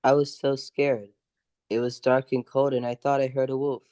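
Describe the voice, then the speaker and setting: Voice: medium pitch, flat delivery, normal speed, warm tone, a little hiss or hum, close to an everyday voice. An adult performer playing a brave but scared young boy in an amateur radio drama. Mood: anxious, relieved.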